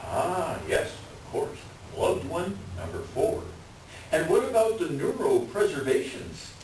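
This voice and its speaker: A man acting a glib, evasive con man posing as a doctor in a stage reading, halting as he smooth-talks. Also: on the high side, huge pitch swings, a little mellow. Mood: sheepish. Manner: hesitant, halting, smooth-talking. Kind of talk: staged readers' theater acting. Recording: echoey room, distant mic, faint noise.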